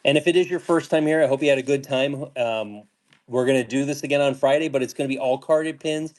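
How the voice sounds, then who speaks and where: A man's voice: medium pitch, quick pace. Laid-back rambling man selling pins. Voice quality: calm voice. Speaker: pin seller host. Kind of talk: casual live-sale chat.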